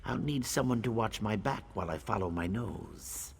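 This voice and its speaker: A man voicing a cocky but earnest and loyal lizardfolk scout and tracker in a video game, with a gravelly voice. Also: on the low side, expressive, moderate pace. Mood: confident. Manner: tags sentences with a questioning "yes?". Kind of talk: video game character dialogue. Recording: game music under the voice.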